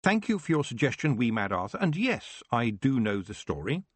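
A man voicing a sensible young witch who speaks calmly and plainly, reasoning things through. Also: mid-range voice, normal speed, slightly rough, no echo. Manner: calm, plain-spoken, reasoning.

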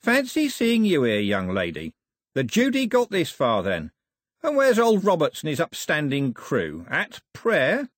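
A man's voice: a little high, huge pitch swings, measured pace, no echo, clean studio sound, voice pushed up, full character voice. Man voicing a swaggering villain, swooping and jeering. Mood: mockingly cheerful. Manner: theatrical, jeering, colloquial patter. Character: swaggering villainous sailor, mockingly cheerful murderer turned king.

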